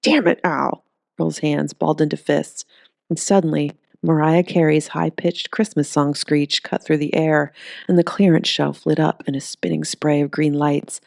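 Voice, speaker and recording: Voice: medium pitch, little pitch movement, normal speed, gravelly, darkish tone, no echo, clean studio sound. An audiobook narrator reading a scripted story.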